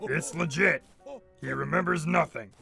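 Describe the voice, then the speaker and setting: A man's voice: a little high, unhurried, creaky, music in the background. A man voicing a laid-back male crocodile detective who talks in a casual, blunt way. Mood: easygoing.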